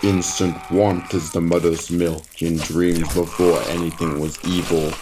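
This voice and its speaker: A man voicing a monstrous creature that recites cryptic riddles in a droning monotone for a horror audio log. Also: sits low, a little slow, background noise, pitched below the performer's own voice. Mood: ominous, detached.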